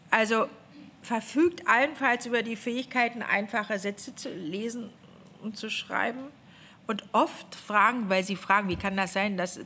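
Woman official giving a lively spoken report. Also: medium pitch, slight background noise. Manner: animated, gestures a lot, restarts phrases. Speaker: government official reporting to a parliamentary committee. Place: indoor meeting room. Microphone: gooseneck desk microphone.

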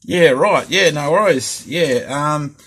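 Middle-aged Australian male hobby host, husky tone, hesitant and repetitive, casual delivery. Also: a little high, lively intonation, takes it slowly, fairly bright tone. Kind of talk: casual hobby vlog talk.

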